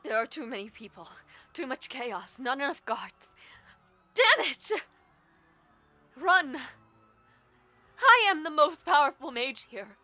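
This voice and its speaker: A woman acting a proud, imperious female mage, bright-voiced. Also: high-pitched, no echo, heavily acted. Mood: urgent, desperate, shouting. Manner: formal, imperious, deliberate diction. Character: proud powerful female mage, commanding, vengeful, self-sacrificing villainess. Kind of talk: dramatic audio roleplay acting.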